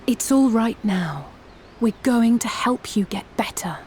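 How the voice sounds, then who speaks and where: An actress voicing a cheerful, kindly young woman in a video game, speaking brightly. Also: a little high, expressive, a little slow, soft background music. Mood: gentle.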